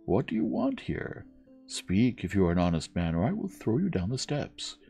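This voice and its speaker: A storybook lad, acted by a male reader, simple-minded and fearless. His pitch is lifted well up, and he speaks earnestly, full of wide-eyed innocence. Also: moderate pace, creaky, dark tone, slightly rough, faint music behind, heavily acted. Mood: bold challenge.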